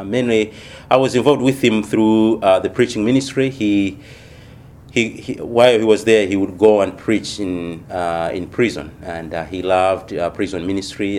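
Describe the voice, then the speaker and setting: A man from Malawi, a missionary church planter, answering interview questions in a thoughtful, unhurried way with many pauses. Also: low voice, a little mellow, slight background noise.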